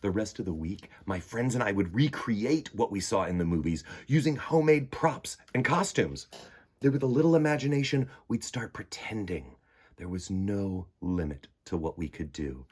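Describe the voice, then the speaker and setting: A man's voice: lowish voice, expressive, normal speed, darkish tone, slightly rough. A man reading a children's picture book aloud expressively, with dramatic delivery and conversational asides. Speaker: storytime host reading aloud.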